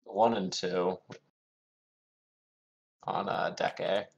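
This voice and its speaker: Low-pitched man, dull lo-fi sound. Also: steady tone, extremely slow, vocal fry, slight background noise. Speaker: tabletop roleplayer. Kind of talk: casual roleplay chat.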